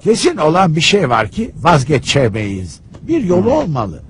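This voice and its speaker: Old scholarly uncle, cartoon, kindly, measured, rather high voice, swooping melody. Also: male voice, huge pitch swings. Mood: determined. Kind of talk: cartoon dub acting.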